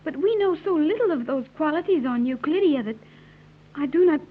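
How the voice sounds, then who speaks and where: A woman's voice: high-pitched, lively intonation, a little fast. A woman acting a naive, earnest young island woman in an old-time radio serial, speaking formally with careful diction. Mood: earnest, gentle. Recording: tinny, narrow-band vintage radio recording.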